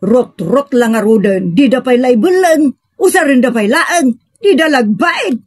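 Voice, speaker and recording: A woman acts out a feisty village woman in a family audio comedy. Her voice sits a little high and has a husky rasp, recorded close and dry. She talks expressively and colloquially. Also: animated, measured pace, dry recording, exaggerated voice. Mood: grumbling. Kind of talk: Ilocano radio-style comedy drama acting.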